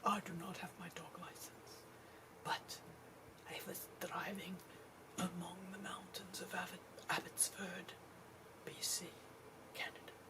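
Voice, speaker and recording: A woman as a slow, whispering puppet dog. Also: fairly low pitch, level tone, lightly acted. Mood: hushed wonder. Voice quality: breathy, whispery. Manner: halting, repetitive "you know", storytelling. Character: furry puppet dog, earnest, wide-eyed flying saucer witness. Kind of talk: soft-spoken ASMR roleplay, improvised narrative. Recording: noisy, distant hissy home recording.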